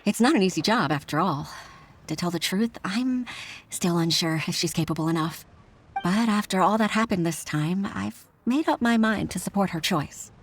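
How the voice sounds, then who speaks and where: A woman's voice: fairly low pitch, normal speed, a little hiss or hum, close to an everyday voice. A woman playing an earnest, humble secretary and mother, speaking politely and heartfelt in an anime video game. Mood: reflective, hopeful.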